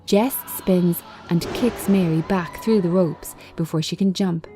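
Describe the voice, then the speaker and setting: A woman reading screenplay directions as scripted narration, in measured, short phrases. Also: lowish voice, music in the background. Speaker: screenplay reader.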